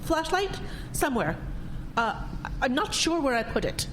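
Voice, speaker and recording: Actress as prim pious woman, high voice, swooping intonation, stern, flustered, audio drama, noisy background. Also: big pitch swings, measured pace. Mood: nervous, defensive. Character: prim, pious, indignant woman frightened but denying it. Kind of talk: scripted audio drama acting.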